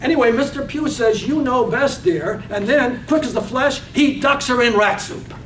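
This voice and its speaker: A man narrating a poetic radio drama in a dramatic reading. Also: normal speed. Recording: roomy, distant microphone, faint rumbly noise.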